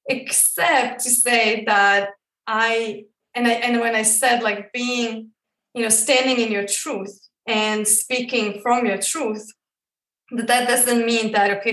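A woman from Croatia speaks as an interview guest, feeling her way through each thought with fillers and restarts. Her voice sits at an ordinary middle pitch. The sound is roomy, and she is set back from the microphone. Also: fairly bright tone, far from the mic. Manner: searching, halting, many fillers and restarts. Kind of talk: reflective personal interview.

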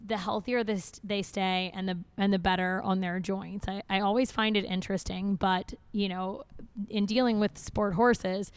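A woman veterinarian answers a question in a crisp, clear voice recorded dry and close. She talks casually but with confidence. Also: mid-range voice, no echo. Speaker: veterinarian panelist. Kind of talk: live panel Q&A.

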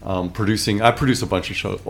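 Male radio producer, deep voice, halting casual talk, faint noise behind. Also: steady tone, gravelly. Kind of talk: casual, halting self-introduction.

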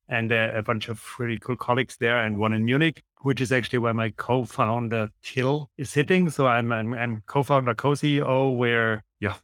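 A man's voice: mid-range voice, a bit bright, dry recording, studio quality. A man with an Austrian accent speaking conversationally as a founder being interviewed. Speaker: interview guest, company founder and CEO.

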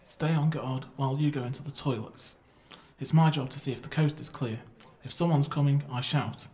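A man's voice: medium pitch, fairly even intonation, normal speed, a little mellow, lightly acted. A man playing a naive, eager, guileless schoolboy sidekick in an amateur audio drama.

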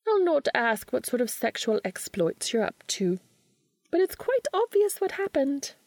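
A woman's voice: sits high, sing-song, a little slow, darkish tone, no room sound, clean studio sound, pitched above the performer's own voice, heavily acted. A woman voicing a haughty, exasperated centuries-old witch with an arch, scolding manner in a story reading.

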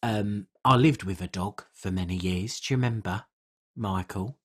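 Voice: deep voice, moderate pace, slightly rough. A podcast co-host, chatting casually and comically in a rambling way with colloquial, non-standard grammar.

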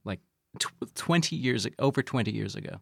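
Animated man in casual podcast chat. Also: mid-range voice, a little fast, vocal fry, dry recording, studio quality. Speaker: podcast producer, guest.

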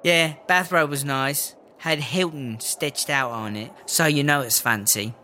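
Man acting a bright, jealous inmate. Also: on the high side, measured pace, a little hiss or hum. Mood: jealous, sardonic. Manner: gossipy, sardonic, chatty. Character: jealous, exasperated prison inmate pining for comforts. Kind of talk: comedic audio drama monologue.

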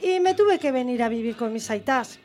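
A woman's voice: fairly high pitch, expressive, moderate pace, a little mellow, close to an everyday voice. A woman with a Basque Country Spanish accent playing a cheerful, rambling grandmother in her sixties calling a radio quiz. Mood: chatty, homely. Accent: Spain, Basque Country. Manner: rambling, effusive, homely. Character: chatty, cheerful widowed grandmother of sixty-six phoning in. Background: music.

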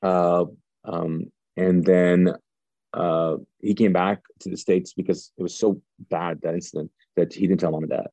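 A middle-aged man from Kuwait whose first language is Urdu, a pastor telling his story in a casual interview, lively and often restarting sentences. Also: low voice, measured pace, creaky, no room sound. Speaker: pastor, guest.

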